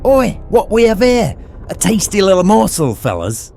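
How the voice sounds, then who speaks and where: A man's voice: sits high, huge pitch swings, normal speed, gravelly, warm tone, heavily acted. A man playing a leering street thug who talks with mocking menace. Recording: music behind the voice.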